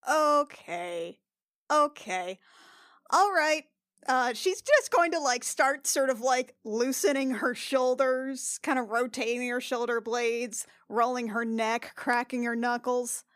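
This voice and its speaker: Slightly high-voiced woman playing a tabletop game. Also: slow pace, fairly bright tone, clean tone, no room sound, studio quality. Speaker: player. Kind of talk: casual improvised game narration.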